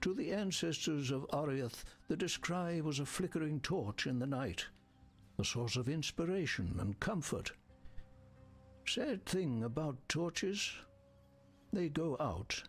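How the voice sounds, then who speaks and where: A grave scholarly man in a fantasy game, speaking with a husky rasp and lively swings of pitch. His delivery is measured, eloquent and dramatic, with faint music behind him. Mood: wistful, solemn. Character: grave, learned male advisor, wary and wistful. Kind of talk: scripted game dialogue.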